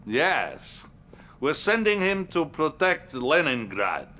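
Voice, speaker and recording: An actor playing a scheming, pompous Soviet leader in a video game cutscene, with a theatrical, deliberate delivery and a Russian accent. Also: male voice, a little high, wildly swooping pitch, bright, forceful tone, exaggerated voice. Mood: firm. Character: grandiose, scheming Soviet leader addressing his commander.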